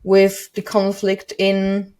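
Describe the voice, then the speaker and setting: Slow-talking woman host. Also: medium pitch, monotone, darkish tone, clean tone. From Poland. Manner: thoughtful, hesitant, restarts mid-sentence. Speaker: podcast host. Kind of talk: casual interview chat.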